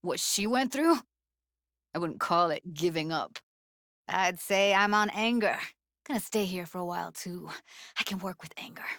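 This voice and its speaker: A woman's voice: fairly low pitch, moderate pace, pauses often, fairly bright tone, no echo, clean studio sound. An actress voicing a tough, guarded young woman techie in a cyberpunk video game, speaking in casual, clipped slang with a wry edge. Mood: upset, tense. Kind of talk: naturalistic video game dialogue, isolated voice lines.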